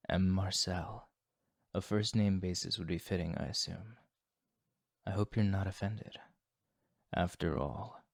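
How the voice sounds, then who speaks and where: A man's voice: low voice, dry recording, clean studio sound, full character voice. An actor playing a courteous, anxious young male vampire who speaks formally in an ASMR roleplay. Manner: formal, polite, hushed and breathy asides, sighs. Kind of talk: ASMR roleplay, scripted monologue to the listener.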